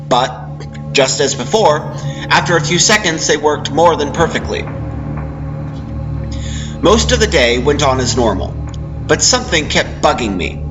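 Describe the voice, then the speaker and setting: Male story narrator, slightly high voice, dramatic first-person reading, music clearly behind. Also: animated, normal speed, muffled recording. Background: background music.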